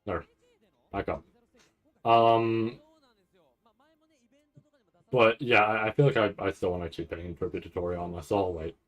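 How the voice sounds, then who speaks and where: A man doing casual livestream reaction commentary, rambling and stammering over repeated words with a nervous, excitable manner. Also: low-pitched, a little slow, long pauses. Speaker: streamer reacting to a live anime event.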